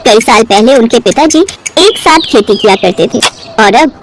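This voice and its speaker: A woman's voice: on the high side, animated, normal speed, noisy background. A woman narrating a scripted children's story expressively, as a storyteller.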